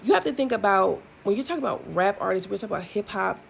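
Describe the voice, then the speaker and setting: A woman's voice: medium pitch, lively intonation, fast, creaky, a little hiss or hum. A woman in her thirties giving blunt, sassy music commentary for her video channel, with lots of ums and asides. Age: 30s. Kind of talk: casual opinion commentary.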